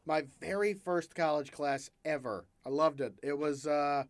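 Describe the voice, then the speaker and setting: A young man from the American South who speaks with no Southern twang at all. His talk is relaxed and rambling, full of fillers, restarts and easy laughter. The sound is close and dry, with no room echo. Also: on the high side, unhurried. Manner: relaxed, chatty, laughs often, many fillers. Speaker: podcast host. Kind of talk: casual chat.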